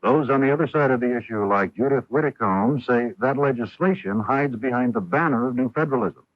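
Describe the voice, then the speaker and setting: A man's voice: medium pitch, brisk, no echo. A man with an American accent reading scripted network news as a radio anchor. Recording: dull lo-fi 1980s radio broadcast.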